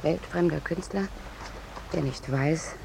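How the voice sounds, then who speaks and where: An actress playing a brash, pushy young war correspondent in a 1950s German radio play, brisk and matter-of-fact with a scornful, bossy edge. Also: female voice, low voice, vocal fry, exaggerated voice. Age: young adult. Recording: noisy 1950s radio drama recording.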